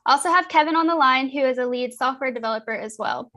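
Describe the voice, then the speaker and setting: A woman's voice: high voice, fast talker, pushed and bright. A woman joining a live stream as a guest, explaining her company in an upbeat, friendly way with a few ums. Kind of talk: casual live-stream introduction.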